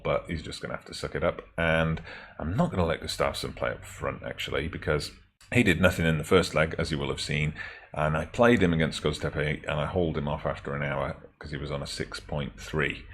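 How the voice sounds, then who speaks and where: Male gaming host, extremely deep voice, slightly raspy throat, relaxed wry game commentary. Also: a little hiss or hum. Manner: chatty, self-correcting, wry asides.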